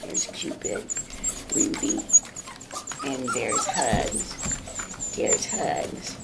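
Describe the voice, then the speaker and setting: Low-voiced woman cooing at puppies. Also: huge pitch swings, drawn-out words, vocal fry. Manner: coos and baby-talks to the puppies. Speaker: dog breeder. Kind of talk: casual home video talking to puppies. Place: small bathroom at home. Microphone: handheld camera. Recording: loud puppy noise behind.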